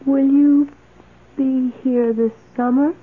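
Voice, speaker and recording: A meek, lonely maid from an old radio play, timid and full of longing. She speaks slowly, with halting pauses. The sound is thin and tinny with faint hiss. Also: high-pitched, fairly even intonation. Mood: flustered, adoring. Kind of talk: old radio drama acting.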